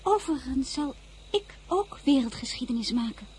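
An earnest, anxious merchant's daughter, high-pitched yet soft and mellow in tone. She hesitates and stammers when frightened, and sounds sincere throughout this radio play. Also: animated, normal speed, a little hiss or hum. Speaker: lead actress and narrator. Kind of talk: radio drama acting.

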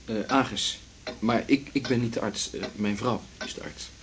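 A man playing a dreamy, easygoing musician in a radio drama, musing in halting, repeated phrases. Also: medium pitch, noisy background, close to an everyday voice. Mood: polite. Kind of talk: 1980s Dutch radio drama acting.

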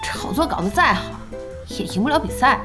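A woman's voice: on the high side, expressive, brisk, close to an everyday voice. An actress playing a spirited young woman boss, playful, blunt and teasing, in a radio drama. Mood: teasing, scornful. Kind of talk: Chinese radio drama dialogue. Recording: music behind the voice.

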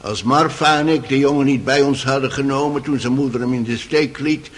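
An actor playing a dignified, stubborn old house servant in a radio drama, plain-spoken, folksy and respectful. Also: male voice, mid-range voice, fairly quick, natural voice. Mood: earnest. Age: older.

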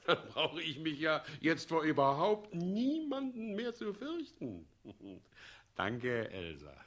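A husky, gloating usurper president. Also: male voice, a little high, huge pitch swings, takes it slowly, fairly bright tone, heavily acted. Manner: pompous, falsely sweet, commanding. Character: gloating, self-important usurper president and bridegroom. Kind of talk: 1960s German radio play acting.

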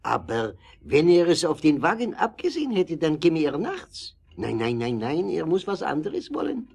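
Excitable Frenchman, higher husky voice, swooping intonation, radio play businessman. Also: moderate pace, heavily acted. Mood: puzzled. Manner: animated, exclaiming, interjecting French words. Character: excitable French businessman, Benz's partner Roger. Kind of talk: German audio drama acting.

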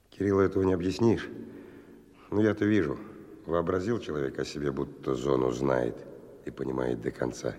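An actor playing a hard-bitten, world-weary stalker who narrates a radio drama in a wry, colloquial, husky voice. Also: male voice, a bass voice, darkish tone, exaggerated voice. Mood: wary, brooding. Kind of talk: 1990 Russian radio drama, first-person narration.

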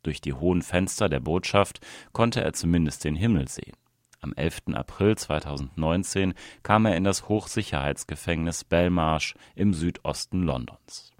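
A man's voice: low voice, moderate pace, dry recording, studio quality. A man reading a written reportage aloud as scripted narration. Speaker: reader of an audio article.

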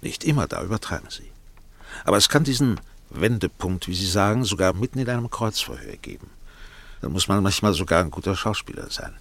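An actor playing a worldly, self-assured defence barrister who talks in a dry, patronising, measured way in a German radio drama. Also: male voice, low-pitched, very animated, gravelly, heavily acted. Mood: reflective, intent.

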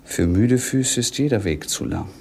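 An actor playing a dreamy, world-weary young prince, declaiming poetically and languidly in 1950s radio drama. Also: male voice, lowish voice, lively intonation, moderate pace, gravelly, darkish tone, slight background noise.

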